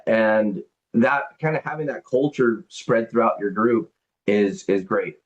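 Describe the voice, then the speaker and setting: A man with a fairly low voice hosts a members' call, talking through the business in a loose, rambling way. He leans on fillers and doubles back on his words. The line sounds dull and lo-fi, like call audio. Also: steady tone, unhurried. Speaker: company leader hosting a members' call. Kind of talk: business presentation and Q&A on a group call. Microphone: conference call.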